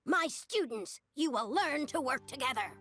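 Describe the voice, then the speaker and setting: A woman's voice: high voice, expressive, normal speed, over music. A woman voicing a small, ancient male yordle ninja master in a video game, spirited and wry, with clipped, teacherly, playful pronouncements. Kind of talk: video game character voice lines.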